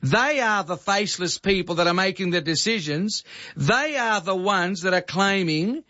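Indignant high-voiced man, ranting. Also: expressive, normal speed, bright, forceful tone. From Greece. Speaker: radio host. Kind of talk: heated talkback radio. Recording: dull lo-fi archival radio recording.

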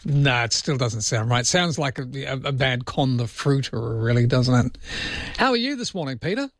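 A man's voice: medium pitch, lively intonation, normal speed, no room sound, studio quality. A man hosting early-morning talkback radio, chatty and self-deprecating, with rambling asides. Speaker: radio host.

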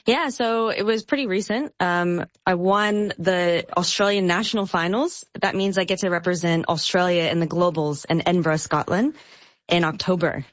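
A woman from the United States, a bartender guest on a radio show, upbeat and quick to laugh. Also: fairly low pitch, fairly even intonation, no room sound. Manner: bright, upbeat, laughs easily. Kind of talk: interview over a phone line. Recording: dry, dull phone-line audio.

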